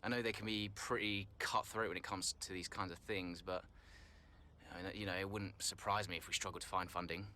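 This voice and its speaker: A man's voice: sits low, steady tone, a little fast, bright, forceful tone. An actor playing a proud, frustrated male Formula One driver in a video game, with a guarded, terse manner. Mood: guarded, measured. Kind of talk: dramatic game cutscene dialogue. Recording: clear background vehicle noise.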